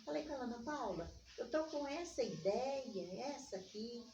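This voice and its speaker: An older woman with a Minas Gerais accent, telling personal stories in conversation and acting out remembered dialogue in an animated way. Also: on the high side, moderate pace. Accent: Brazilian Portuguese from Minas Gerais. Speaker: interviewee, community organizer. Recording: echoey room, distant mic, dull, faint noise.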